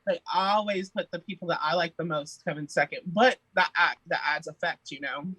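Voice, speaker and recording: Young guy singer, chatty and jokey, bright husky voice, dry sound. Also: on the high side, a little fast, dry recording, poor audio. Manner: playful, chatty, laughs often. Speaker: podcast guest, singer. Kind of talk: casual interview chat.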